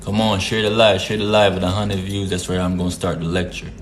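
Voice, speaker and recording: A man's voice: low-pitched, flat delivery, brisk, a little hiss or hum. A man from Jamaica hosting a casual live stream, greeting viewers by name in a laid-back, repetitive way. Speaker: livestream host.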